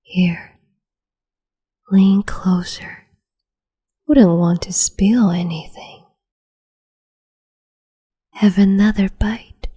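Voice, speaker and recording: A cruel, capricious young empress, played by a woman coos and whispers in a soft, breathy voice, taking her time over every word. Her tone is low and mellow, teasing and condescending as she dotes on her pet. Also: fairly even intonation, full character voice. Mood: cruel doting. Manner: slow, teasing, condescending, doting. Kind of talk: ASMR roleplay audio.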